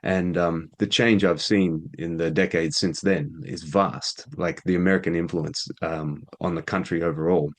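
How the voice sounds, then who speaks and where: A man hosting an interview, asking relaxed, rambling questions full of fillers. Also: low-pitched, moderate pace, few pauses. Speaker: interviewer, radio show host. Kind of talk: casual conversational interview.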